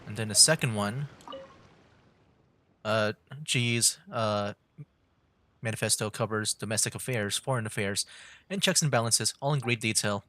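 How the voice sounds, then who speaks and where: A man doing casual livestream game commentary, reading aloud in a halting way. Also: lowish voice, bright, forceful tone. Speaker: gaming streamer. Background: game music.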